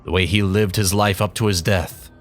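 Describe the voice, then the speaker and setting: A man performing a bitter young man who looks back on his mother with contempt, reading in a measured, brooding way with dramatic pauses. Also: deep voice, fairly even intonation, faint music behind, lightly acted. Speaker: narrator in character.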